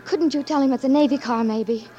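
An actress plays a determined young wife pleading urgently for her husband. Her voice sits a little high. Faint music plays behind her. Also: a little fast, dark tone, dull audio, natural voice. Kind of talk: 1940s radio acting.

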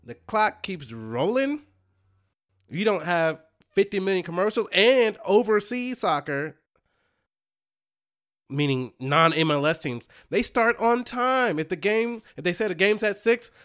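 A man's voice: sits high, lively intonation, measured pace, a bit bright. A man co-hosting a podcast, chatting casually about sports, laughing often and restarting his sentences. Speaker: podcast co-host.

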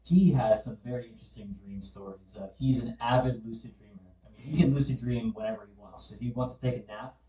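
A man co-hosting a podcast, explaining things in a calm, measured way during a casual chat. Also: medium pitch, highs cut off. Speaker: podcast co-host. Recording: echoey room.

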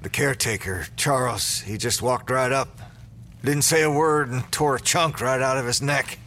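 A man's voice: medium pitch, moderate pace, sharp tone, slight background noise, close to an everyday voice. A man acting a serious, take-charge small-town sheriff in an audio drama, speaking earnestly. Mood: shaken.

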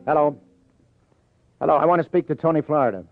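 An actor playing a hard-boiled New York police lieutenant in a radio crime drama, clipped and wry. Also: male voice, fairly high pitch, fast talker, no room sound, soft background music, lightly acted. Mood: pressing. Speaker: lead actor, police detective. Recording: tinny vintage radio broadcast.

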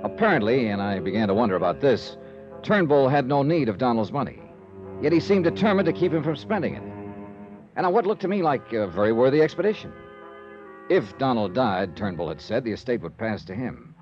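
An actor playing a wry insurance investigator narrating in the first person in a 1950s radio drama. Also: male voice, medium pitch, big pitch swings, a little fast, natural voice. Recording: dull lo-fi 1950s radio with music.

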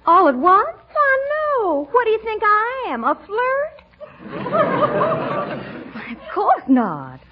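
An actress playing a coy, sugary young Southern belle with a Southern US accent in a 1940s radio comedy. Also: female voice, very high voice, wildly swooping pitch, slow, pauses often, very noisy, heavily acted. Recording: muffled old radio broadcast.

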